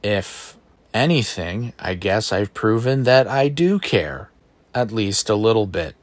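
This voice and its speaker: A man with a baritone voice hosting a solo podcast, thinking aloud in a reflective, self-deprecating way, recorded on a phone in a bedroom at home. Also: expressive, slow pace, no echo. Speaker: podcast host. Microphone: phone microphone.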